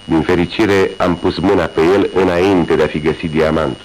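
An actor playing a brilliant, methodical detective who calmly explains his deductions in a radio drama. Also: sits low, slight background noise, natural voice, rough recording. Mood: explaining. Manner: measured, explaining deductions step by step. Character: brilliant methodical male detective, calm and wry. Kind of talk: 1980s radio drama acting.

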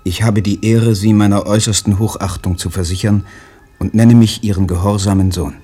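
Withdrawn poet son, deferential, stilted letter recitation, low mellow voice, radio drama. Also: male voice, fairly even intonation, fairly quick, slightly rough. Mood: stiff, submissive. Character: submissive, withdrawn poet son writing stiffly formal letters.

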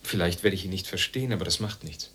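An actor in a radio drama playing a guarded, wry, philosophical East German man with a husky voice and a dry, detached manner. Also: sits low, fast talker, a bit bright.

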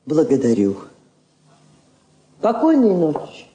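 An actress playing a dignified woman ambassador, speaking in a measured, heartfelt, declamatory way. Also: deep voice, wildly swooping pitch, warm tone, a little hiss or hum, heavily acted. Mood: dignified, assured. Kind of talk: solemn Soviet stage drama acting. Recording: roomy old drama recording.

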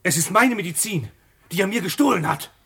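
An actor playing a proud, excitable young Kiowa warrior in a German radio drama, with a rough-edged voice. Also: male voice, high-pitched, fairly quick, dry recording, exaggerated voice. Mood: agitated.

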